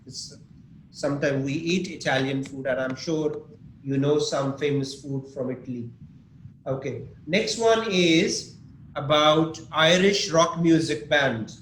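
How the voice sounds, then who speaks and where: A man's voice: a little high, measured pace, fairly bright tone. A man teaching an online English lesson, explaining patiently with examples and questions for his students. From Pakistan. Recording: echoey room with faint noise.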